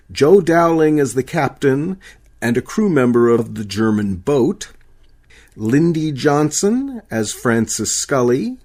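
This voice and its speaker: A man's voice: medium pitch, darkish tone. Radio announcer, man, mid-range voice, scripted credit read.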